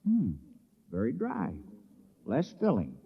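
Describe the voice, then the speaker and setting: An actor playing a reluctant, guileless, folksy villager in an old radio drama, hesitant and plain-spoken. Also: male voice, mid-range voice, wildly swooping pitch, slow, creaky, heavily acted. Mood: puzzled, earnest. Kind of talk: mid-century radio comedy-drama acting. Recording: muffled vintage radio recording.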